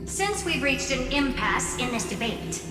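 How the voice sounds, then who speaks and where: An actress voicing a composed, shrewd female debater in a video game, articulate, measured and knowing. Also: sits high, very animated, far from the mic. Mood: knowing, resigned. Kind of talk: dramatic video game voice acting. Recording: echoey reverb, loud music behind.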